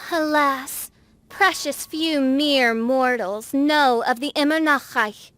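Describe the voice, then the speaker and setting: A woman's voice: high-pitched, slow, a bit bright. A woman voicing a theatrical young princess in a video game, declaiming in a pompous, florid and solemn manner. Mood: lofty lament. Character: theatrical, self-styled teenage princess, grandiose and solemn. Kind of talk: dramatic video game acting.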